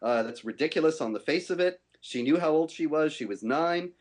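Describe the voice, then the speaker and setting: A man giving a firm, measured rebuttal in a televised debate, often pausing on "uh". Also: fairly high pitch, expressive. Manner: measured, firm, many uh fillers. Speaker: debate guest.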